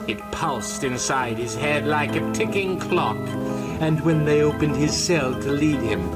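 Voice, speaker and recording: A man delivers scripted horror narration in an ominous, theatrical style. His pace is unhurried, and prominent music plays behind his voice. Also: fairly high pitch.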